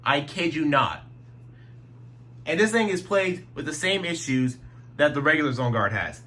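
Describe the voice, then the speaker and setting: A man's voice: on the high side, lively intonation, normal speed, fairly bright tone. A man casually showing off his radio collection at home, rambling, restarting sentences and swearing now and then. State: throat getting sore. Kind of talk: casual show-and-tell vlog. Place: at home at night.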